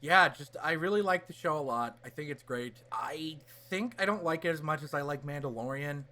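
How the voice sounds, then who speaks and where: A man co-hosting a casual pop-culture podcast, talking in an excitable, hyperbolic fan style with plenty of swearing. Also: fairly high pitch, normal speed. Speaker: podcast co-host.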